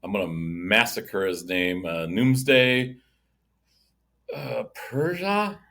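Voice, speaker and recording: A man's voice: fairly low pitch, gravelly, pushed and bright. A man co-hosting an insurance podcast, chatting casually and often restarting his sentences. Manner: halting, many restarts and repeated words. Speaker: insurance agent co-host. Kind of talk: casual conversational chat.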